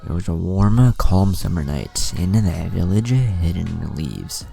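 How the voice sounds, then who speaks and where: A man with a gravelly voice reading a scripted fan fiction story as narrator. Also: deep voice, animated, a little slow, warm tone, soft background music.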